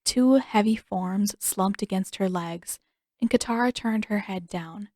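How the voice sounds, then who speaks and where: A woman's voice: mid-range voice, measured pace, a little mellow. A woman reading scripted fiction as an audiobook narrator.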